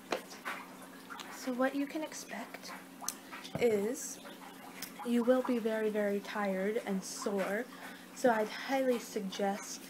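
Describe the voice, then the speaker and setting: A woman in her twenties doing a rambling, hesitant ASMR roleplay as a friendly vampire, speaking conversationally. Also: mid-range voice. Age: 20s. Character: friendly young two-hundred-year-old female vampire hosting a guest. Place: small room at home. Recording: noisy home webcam recording.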